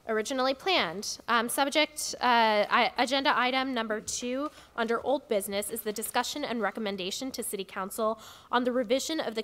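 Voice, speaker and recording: A woman chairing a public commission meeting, speaking politely and in a measured way, with frequent fillers. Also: a little high, a bit bright. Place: community hall meeting room. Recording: long reverb of a meeting hall.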